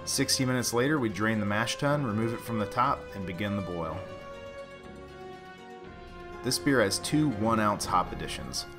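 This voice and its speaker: Male host, low voice, casual and playfully dry delivery, music behind. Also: normal speed, pauses often. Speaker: host, home brewer. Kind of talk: casual scripted narration and relaxed tasting chat.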